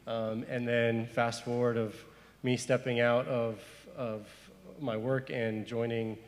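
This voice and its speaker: A man's voice: medium pitch, little pitch movement, takes it slowly. A man from Zimbabwe speaking on a church panel, telling his story earnestly with frequent restarts. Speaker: panelist, missionary worker. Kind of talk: panel discussion. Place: church hall. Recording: echoey hall.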